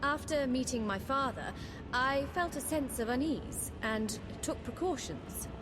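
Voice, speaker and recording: A woman playing an earnest, brave and wary young adventurer companion in a video game, speaking formally and deliberately. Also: high-pitched. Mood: wary, grave. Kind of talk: scripted video game dialogue.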